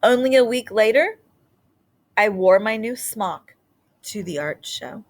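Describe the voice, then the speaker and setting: A woman's voice: mid-range voice, expressive, measured pace, a little hiss or hum. Woman reading a storybook aloud. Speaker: storybook reader. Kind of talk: read-aloud children's story narration.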